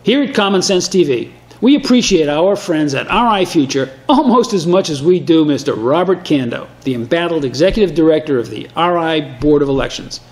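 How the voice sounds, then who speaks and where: A man's voice: on the high side, expressive, a little fast. A man presenting wry scripted commentary with a sardonic delivery. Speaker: TV segment presenter.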